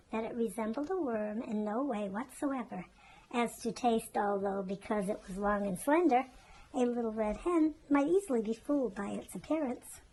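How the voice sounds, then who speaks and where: Female children's storyteller, fairly high-pitched, for storybook narration. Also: darkish tone, slight background noise, rough recording.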